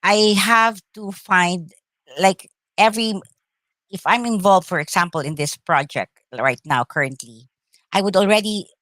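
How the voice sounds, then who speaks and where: A middle-aged woman with a Filipino accent, whose first language is Tagalog, answering interview questions thoughtfully with many pauses and uhs. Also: medium pitch, fairly bright tone, dry recording. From Philippines. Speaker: actress, interview guest.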